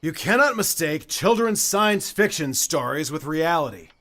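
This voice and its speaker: An actor playing a stern, pompous, exasperated skeptic in a radio drama. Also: male voice, on the high side, lively intonation, moderate pace, bright, forceful tone. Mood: scornful, impatient.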